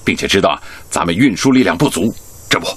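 A man's voice: low-pitched, sing-song, fast, a bit bright, slightly rough, exaggerated voice. A man acting a genial, busy hospital director in a Chinese radio drama. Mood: genial, businesslike.

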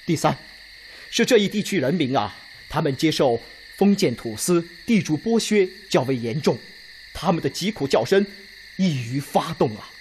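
A methodical Red Army officer played by a man presses his case point by point in a radio drama. He is emphatic, with a fairly high, very varied pitch. Faint noise sits in the background. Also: expressive, moderate pace, a little mellow, close to an everyday voice. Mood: insistent, methodical. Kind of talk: Chinese radio drama acting.

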